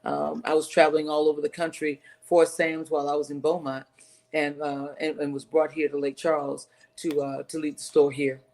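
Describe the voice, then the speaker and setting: A woman's voice: sits low, flat delivery, normal speed, gravelly, darkish tone. A middle-aged woman from Texas, giving earnest, emphatic interview answers over a video call and often repeating phrases. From Texas, United States. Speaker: interview guest, city council candidate.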